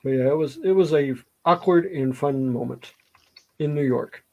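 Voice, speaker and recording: A man's voice: a little high, moderate pace, vocal fry, dull audio. A middle-aged man with a Southern US accent chatting casually and telling stories in a rambling way. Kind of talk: casual chat, storytelling.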